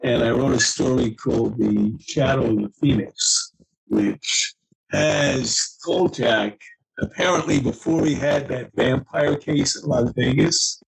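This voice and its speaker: A man speaking as a guest author, casually explaining his own fiction in a halting, explanatory way. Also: medium pitch, creaky, breathy edge, low-quality recording.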